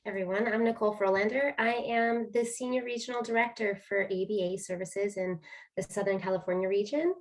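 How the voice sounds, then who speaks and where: A woman introducing herself as a regional director, speaking with enthusiasm. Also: medium pitch. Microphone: video call.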